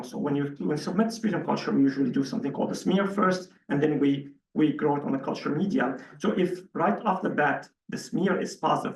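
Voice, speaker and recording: A man's voice: on the high side, creaky. A man physician at a hotel conference podium, methodically explaining treatment choices with frequent fillers. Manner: methodical, hesitant with many fillers. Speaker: physician on a panel. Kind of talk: conference Q&A answer. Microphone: podium mic, heard from the room camera. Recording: echoey room, distant mic.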